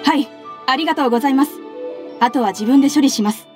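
An actress voices an earnest young civil servant in an anime-style game. Her voice is high, with polite and sincere delivery. Faint music plays behind her respectful, proper speech. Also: fast talker, natural voice. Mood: admiring.

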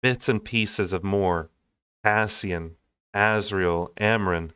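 A young man reads a story aloud. His voice sits a little low. He narrates expressively in audiobook style, and the recording is dry. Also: fairly even intonation, slow pace, a bit bright, smooth, no room sound. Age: young adult. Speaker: storyteller reading a novel aloud.

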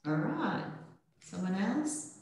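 A woman's voice: mid-range voice, very animated, takes it slowly, darkish tone. A woman leading a volunteer dog-training session on a video call, friendly and hesitant, with many fillers. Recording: very echoey room.

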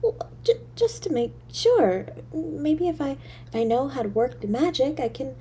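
A woman voicing a poor, lonely little girl in an audio drama, halting and breathy, with childlike stammering. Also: high-pitched, lively intonation, mellow, a little hiss or hum. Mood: hushed wonder. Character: poor, lonely, freezing little match girl, timid and yearning. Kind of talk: solo audio drama monologue.

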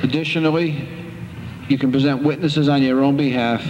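An older man presiding as a judge in a courtroom, speaking in a measured, stern, lecturing way. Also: mid-range voice, fairly even intonation, highs cut off. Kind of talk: courtroom proceedings. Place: municipal courtroom. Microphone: bench microphone. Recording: reverberant courtroom, background noise.

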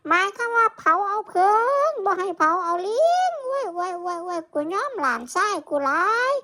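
Folktale grandmother, male performer: very high, piercingly bright elderly Isan woman, doting but scolding and exasperated. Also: big pitch swings, moderate pace, creaky, heavily acted. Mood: exasperated wailing. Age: older. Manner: exclaiming, scolding, wailing. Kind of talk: folktale character voice.

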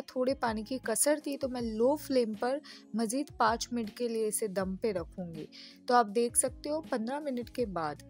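Chatty Pakistani woman hosting a cooking vlog. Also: fairly high pitch. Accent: Pakistani Urdu. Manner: chatty, friendly, repeats tips. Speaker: cooking channel host. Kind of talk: casual step-by-step cooking voice-over.

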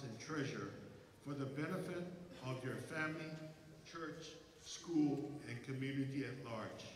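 An older man with a Hawaii accent, reading a formal commencement address as school president from a lectern, in measured phrases. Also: a little high, fairly bright tone, far from the mic, a little hiss or hum. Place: large concert hall. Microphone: lectern mic. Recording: echoey hall, voice from afar.

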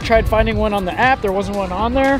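A man's voice: high voice, fairly quick, crowd noise. A young man chatting casually in an outdoor vlog, informal and rambling. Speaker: travel vlogger. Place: outdoors at a beach and island park. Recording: outdoor vlog with background music.

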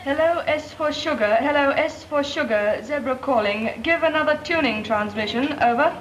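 A woman's voice: sits high, moderate pace, highs cut off, lightly acted. An actress playing a young female naval radio operator, speaking in clipped radio procedure. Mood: steady. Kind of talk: 1940s film acting. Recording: noisy, echoey 1940s film soundtrack.

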